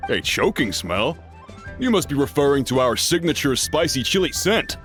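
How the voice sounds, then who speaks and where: Hearty, animated proud chef. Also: male voice, a little high, normal speed, faint music behind. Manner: hearty, welcoming, boastful. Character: hearty, proud male restaurant chef, middle-aged. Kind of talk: video game voice acting.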